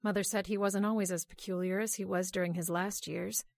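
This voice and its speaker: A woman's voice: mid-range voice, steady tone, a little fast, gravelly, darkish tone, natural voice. A woman playing an inquisitive, earnest wife in an old-time radio drama, in a conversational style. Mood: curious. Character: inquisitive married woman, wistful heiress to a house.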